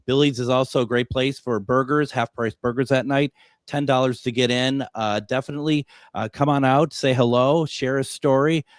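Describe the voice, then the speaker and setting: Chatty radio host, many "uh"s. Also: male voice, medium pitch, steady tone, moderate pace, no echo, studio quality. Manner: chatty, restarts often with "uh". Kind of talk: casual radio talk and live ad reads.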